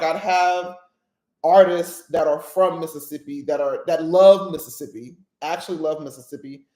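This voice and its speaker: A woman's voice: deep voice, darkish tone, echoey room. A woman hosting a casual solo podcast, talking emphatically, often checking in with her listeners and laughing at her own jokes. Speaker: podcast host.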